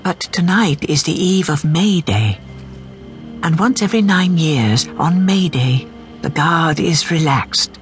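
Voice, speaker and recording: Seeking fairy-tale narrator for radio drama, mid-range voice, scripted storytelling, set over clear music. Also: medium pitch, expressive, slow pace. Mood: measured storytelling. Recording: music under the voice.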